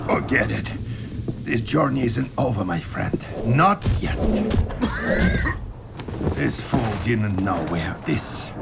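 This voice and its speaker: An actor playing a ruthless, sneering military colonel in a video game cutscene, menacing and barking orders. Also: male voice, mid-range voice, animated, slow pace, gravelly, pauses often, heavy background noise, heavily acted. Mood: gruff, impatient. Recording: loud music behind the voice.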